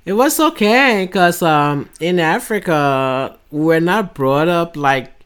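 A woman's voice: on the low side, lively intonation, slow, clear, resonant tone. Older Liberian-accented woman, podcast guest. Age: 60s. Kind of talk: casual interview conversation. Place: home office with windows open.

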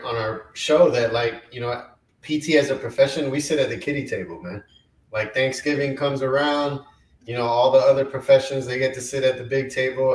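A man from Colombia co-hosts a casual podcast and rambles easily through his stories. His voice is of middling pitch. He sits away from the mic, and the room adds a clear echo. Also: distant mic. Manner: easygoing, rambling. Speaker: podcast co-host. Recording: roomy and off-mic.

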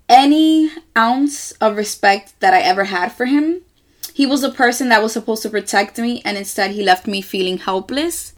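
Soft-spoken reflective Dominican woman. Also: a little high. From Dominican Republic. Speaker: journaling vlogger. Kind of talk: reading her own journal entries aloud.

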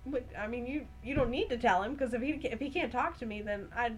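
A woman's voice: fairly high pitch, fast, a little hiss or hum, poor audio. Chatty woman guest telling a story. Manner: rambling, heavy use of "like". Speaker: podcast guest. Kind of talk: casual storytelling chat.

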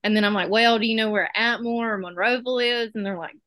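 Bright-voiced Southern woman, chatty storyteller. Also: a little high, steady tone, fast, no room sound. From South Alabama. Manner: chatty storytelling, acts out quoted exchanges. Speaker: dental student guest. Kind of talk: casual chat.

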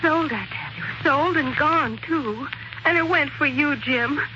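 A very high-pitched young wife, devoted and anxious, pleading earnestly in the manner of 1940s radio drama. The recording is muffled, with a clear layer of old broadcast noise. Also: female voice, measured pace. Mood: tender pleading.

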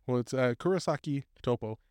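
A man co-hosting a podcast, reading stories aloud in a loose, casual way with fillers and laughter. His voice sits at a middle pitch. The sound is dry and close to the mic. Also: a little fast, a little mellow, clear, resonant tone, dry recording.

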